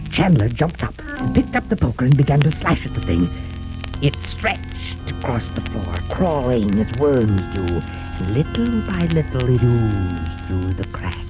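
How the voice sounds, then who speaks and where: An actor playing a gleefully sinister storyteller in 1930s radio horror, with a theatrical, drawn-out delivery that relishes the macabre. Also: male voice, mid-range voice, dull audio. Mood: horrified, intense. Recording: old band-limited 1930s radio recording.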